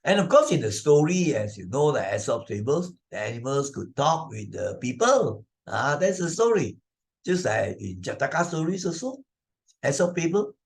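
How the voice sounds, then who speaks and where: A man's voice: mid-range voice, big pitch swings, normal speed, creaky. A man with a Malaysian accent narrating and explaining a moral story for children as a teacher, in a conversational, repetitive way full of little tag questions.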